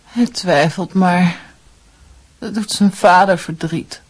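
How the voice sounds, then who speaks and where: A solemn woman presiding over a séance in a radio drama. Her voice sits low and has a husky, veiled grain. She speaks cryptically and with insistence. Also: takes it slowly. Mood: solemn, insistent. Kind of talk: radio play acting.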